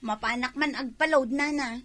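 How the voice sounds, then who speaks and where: A woman's voice: high voice, normal speed, fairly bright tone. A woman playing a gossipy, bossy village wife with an Ilocano accent in a comedy radio drama, exclaiming and drawing out her words. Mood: curious.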